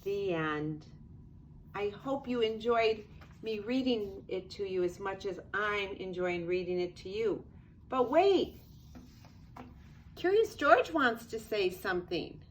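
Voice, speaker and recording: An older woman, a grandmother, reads a picture book aloud to young children in an expressive, unhurried way with casual asides. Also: medium pitch, slight background noise. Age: 60s or older.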